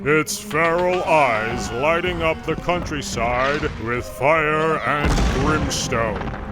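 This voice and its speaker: A man's voice: on the high side, slow pace, loud music behind the voice. A man playing a grave, ominous narrator, delivering dramatic scripted narration for an adventure story.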